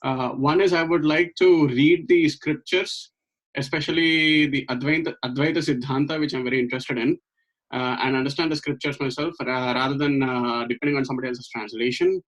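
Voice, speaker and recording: A young man from Hyderabad introducing himself as a student, earnest and hesitant with many pauses, speaking from his bedroom on a laptop call. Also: medium pitch, fairly even intonation. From Hyderabad, India. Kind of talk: self-introduction on video call. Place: bedroom at home. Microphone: laptop webcam on video call. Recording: dull lo-fi call audio.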